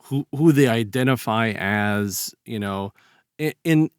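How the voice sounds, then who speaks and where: A man in a casual, reflective podcast discussion, speaking haltingly and repeating words as he builds a point. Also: mid-range voice, clear, resonant tone, no echo, clean studio sound.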